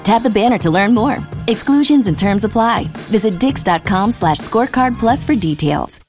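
A woman reading a scripted retail ad with bright upbeat delivery. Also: fairly high pitch, expressive, normal speed, soft background music. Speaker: ad voice-over.

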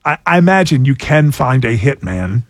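A man's voice: medium pitch, big pitch swings, normal speed, no room sound. A man in his sixties hosting a casual talk show, joking sarcastically and laughing often. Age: 60s. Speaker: radio show host. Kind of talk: casual chat.

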